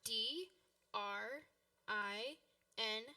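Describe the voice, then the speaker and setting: Excitable high-voiced schoolboy. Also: female voice, drawn-out words, distant mic, exaggerated voice. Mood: eager. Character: earnest, excitable schoolboy who grumbles when let down. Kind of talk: radio play acting.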